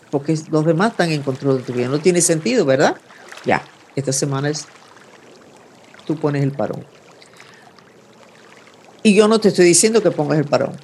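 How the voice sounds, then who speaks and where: A man hosting a weekly horoscope reading, giving calm, intimate advice with vivid imagery, in Spanish that is his second language. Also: on the high side, husky. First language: not Spanish. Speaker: astrologer host.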